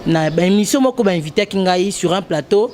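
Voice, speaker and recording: A woman journalist with a Congolese French accent, giving an emphatic, halting interview and switching between French and Lingala. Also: fairly low pitch, animated, a little mellow, slight background noise. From DR Congo, Kongo Central. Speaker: journalist guest.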